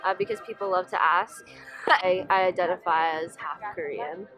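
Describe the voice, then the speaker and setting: A woman's voice: on the low side, steady tone, moderate pace, fairly bright tone, soft background music, poor audio. A young woman, a college student, answering casually and easily in a documentary interview.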